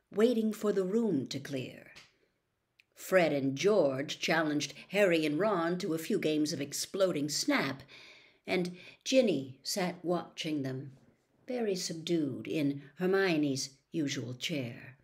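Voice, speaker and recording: A woman's voice: lowish voice, darkish tone. A woman reading a children's fantasy novel aloud as a storybook narrator. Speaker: audiobook reader.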